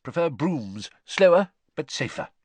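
A man voicing a kindly, excitable middle-aged British wizard father who explains things fussily in an audiobook. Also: on the high side, a little slow, slightly rough, dry recording, voice pushed up, exaggerated voice. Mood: chatty, informative. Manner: eager, chatty, matter-of-fact explaining.

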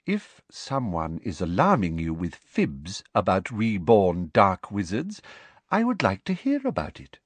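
A man's voice: on the low side, wildly swooping pitch, a little slow, husky, no room sound, heavily acted. A man voicing a smug, sickly-sweet middle-aged female teacher from a storybook, with a honeyed trill and a precise, dismissive manner. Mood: triumphant, falsely sweet. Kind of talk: audiobook character voice.

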